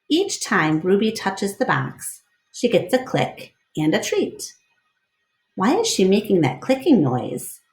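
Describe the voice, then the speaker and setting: Female storyteller for children's book read-aloud: unhurried, varied intonation, roomy echoing recording. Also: medium pitch. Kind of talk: expressive children's book narration.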